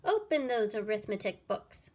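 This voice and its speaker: A woman's voice: mid-range voice, sing-song, moderate pace, a little mellow. A woman voicing a stern, unpleasant female teacher from a children's storybook, snapping and hissing bossy commands. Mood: strict, snapping.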